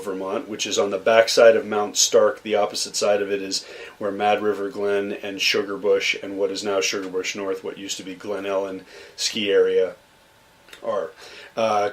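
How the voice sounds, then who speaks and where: A young man from Massachusetts, a podcast guest, telling stories about his childhood in a loose, rambling way with lots of fillers and restarts. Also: on the low side. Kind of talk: relaxed conversational storytelling.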